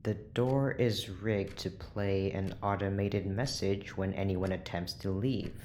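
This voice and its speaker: An actor playing a weary, irritable scientist in charge, curt and sarcastic, in an audio drama. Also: male voice, low-pitched, steady tone, unhurried, a little mellow, slight background noise. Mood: weary, exasperated. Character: weary, irritable scientist boss running secret experiments.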